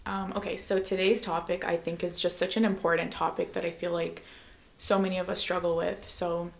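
A young woman hosting a podcast, her voice slightly low and gravelly. She talks loosely, packing her sentences with "like" and "you know." Her voice carries room echo. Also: level tone, a little fast. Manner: filler-heavy, "like, you know" throughout. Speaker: podcast host. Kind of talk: casual podcast chat.

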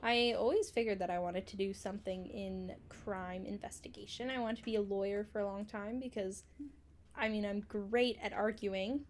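A woman's voice: mid-range voice, steady tone, moderate pace. A woman in her twenties co-hosting a casual podcast, chatty, swearing and laughing often. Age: 20s. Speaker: podcast co-host. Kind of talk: casual chat.

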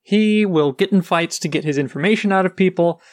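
A man's voice: high-pitched, brisk, dry recording, clean studio sound. A man co-hosting a book podcast, explaining characters and history in an informative way. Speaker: podcast co-host. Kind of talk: casual book chat.

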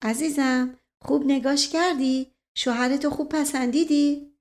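A woman's voice: high voice, steady tone, a little mellow, voice pushed up, close to an everyday voice. A woman voicing a teasing, indulgent prince, an urbane uncle with a coaxing manner, in a storybook reading.

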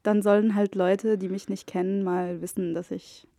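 Egyptian-born woman, arabic native, chatting hesitantly w english bits, dark mellow tone. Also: mid-range voice, level tone, normal speed, no room sound, studio quality. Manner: mixes English words into her talk, hesitant. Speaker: podcast guest. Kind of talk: casual chat.

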